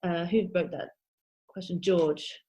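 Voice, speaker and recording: A woman's voice: lowish voice, steady tone, takes it slowly, mellow. A woman moderating a webinar Q&A over a video call, with a loose, friendly way of talking and plenty of ums. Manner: friendly, loosely paced with fillers. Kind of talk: moderating an online Q&A.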